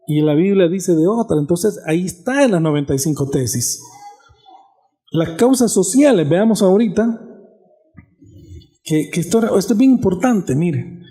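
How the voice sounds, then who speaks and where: Male pastor, high pitch, warm mellow tone, conversational teaching, frequent restarts. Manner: conversational, restarts phrases, asks "¿verdad?". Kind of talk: sermon teaching on church history.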